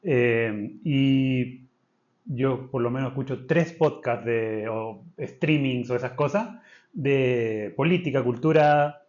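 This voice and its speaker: A man co-hosts a podcast at an average pitch. He talks casually, restarting his sentences as he thinks, but he is firm when he states his principles. The recording has a dull lo-fi edge. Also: takes it slowly, a little mellow. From Chile. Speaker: podcast co-host. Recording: slightly dull lo-fi sound.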